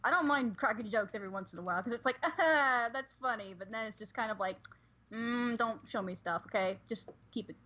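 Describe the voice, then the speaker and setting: A woman in her early twenties chatting casually as a guest on a livestream, with a husky voice. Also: a little high, a little fast, pushed and bright. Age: early 20s. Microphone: online voice call. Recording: dull lo-fi voice-chat audio.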